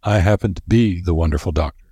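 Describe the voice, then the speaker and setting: A man's voice: low-pitched, quick pace, mellow, breathy edge, dry recording. A man playing a serious, practical male doctor in a storybook, direct and rather grim. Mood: surprised, grim.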